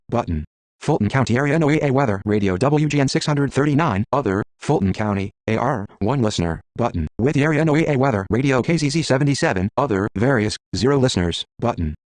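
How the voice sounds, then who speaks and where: A man's voice: medium pitch, lively intonation, fast, darkish tone, dry recording. A synthetic male text-to-speech screen reader, reading out list items in a clipped, mechanical, automated way. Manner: clipped, mechanical delivery of names and codes.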